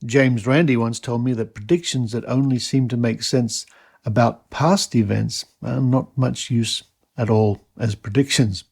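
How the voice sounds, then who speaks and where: Man, scripted narration, mid pitch, creaky edge, measured and emphatic. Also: steady tone, a little mellow. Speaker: podcast host. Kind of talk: scripted documentary-style narration.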